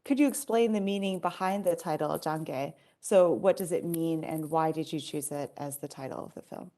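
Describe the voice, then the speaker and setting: A woman's voice: deep voice, normal speed, dark tone. A woman hosting an interview, reading a polite, formal introduction and asking questions. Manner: polite, formal, reads from notes. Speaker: host, research assistant.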